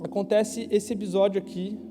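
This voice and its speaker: A young man preaching a casual youth sermon in a church, slangy and conversational, asking the crowd quick questions. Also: high-pitched, little pitch movement, background noise. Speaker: youth pastor. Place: church hall. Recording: live church hall with long reverb.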